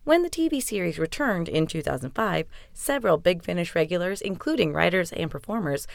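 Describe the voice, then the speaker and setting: A woman's voice: fairly low pitch, big pitch swings, a little fast, creaky, no echo. A woman hosting a podcast, reading scripted narration. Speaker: podcast host.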